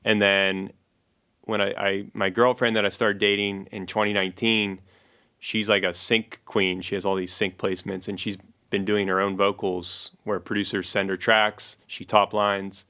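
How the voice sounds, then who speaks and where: Man, podcast guest, very low monotone voice, bright and smooth, laid-back storyteller. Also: moderate pace. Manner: relaxed storytelling with fillers. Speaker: guest musician and producer. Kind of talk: casual interview chat.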